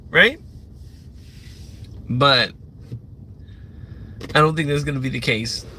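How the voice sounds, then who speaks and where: A man's voice: fairly high pitch, sharp tone. A young man hosting a livestream, ranting loosely with plenty of fillers and swearing. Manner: loose, profane, meandering talk with many fillers. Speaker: livestream host. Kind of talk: rambling live rant.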